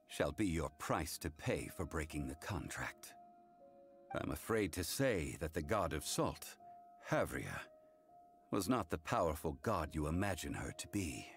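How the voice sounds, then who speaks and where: A man playing a calm, grave consultant in a video game, speaking formally and with solemn measure. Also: very deep voice, heavily acted. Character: calm, grave, worldly male consultant recounting hard truths. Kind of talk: English video game voice acting.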